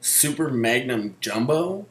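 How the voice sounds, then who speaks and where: A man's voice: medium pitch, lively intonation, takes it slowly, a bit bright. Man reading as a dry romance hero, echoey room. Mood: dry, amused. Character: romance novel hero, lusty ex-military man. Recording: roomy echo, faint background noise.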